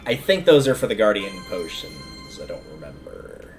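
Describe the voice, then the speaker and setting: Low-voiced man casually commentating a game. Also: very animated, normal speed. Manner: rambling, thinking aloud. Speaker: livestreamer playing a video game. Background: video game music.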